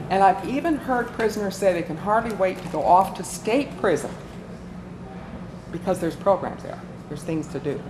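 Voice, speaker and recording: A woman giving an informal workshop talk as a volunteer presenter, conversational and inclined to tell stories. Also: on the low side. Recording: echoey room with clear background noise.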